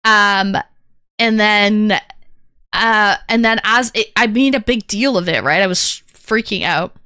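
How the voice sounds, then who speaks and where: Bright-voiced woman streamer, rambling chat. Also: medium pitch, takes it slowly, smooth, no echo, studio quality. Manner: candid, rambling storytelling with many fillers. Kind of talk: casual chat to stream viewers.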